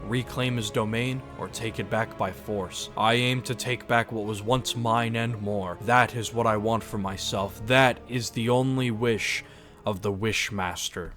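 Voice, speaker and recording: A man playing a scheming exiled king, deliberate and grandiose, in a fan audio drama. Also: fairly low pitch, fairly even intonation, a bit bright, faint music behind. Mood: scheming, menacing. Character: brooding, scheming exiled king, a theatrical villain.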